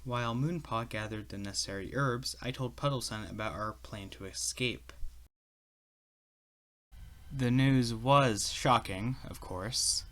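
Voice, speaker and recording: Man acting a blunt warrior cat, bright-voiced. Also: mid-range voice, a little slow, close to an everyday voice. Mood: somber narration. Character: weary, loyal male warrior cat, skeptical and blunt. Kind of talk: fan comic dub acting and narration. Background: background music.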